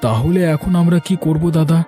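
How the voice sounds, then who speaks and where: A man plays a poor village fisherman and farmer in a cartoon tale. His voice sits a little high and has a soft, mellow tone. He sounds plaintive and worried, with faint music behind him. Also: animated, brisk, lightly acted. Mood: lamenting, anxious. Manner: plaintive, lamenting. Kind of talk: cartoon story dialogue.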